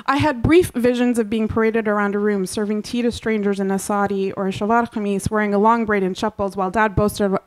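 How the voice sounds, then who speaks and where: A woman's voice: mid-range voice, rough recording. Woman reading her essay aloud, wryly. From Kansas, USA. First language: Urdu. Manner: reads wryly with offhand asides and frequent throat clearing. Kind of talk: reading aloud a personal essay at a book launch. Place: live literary event.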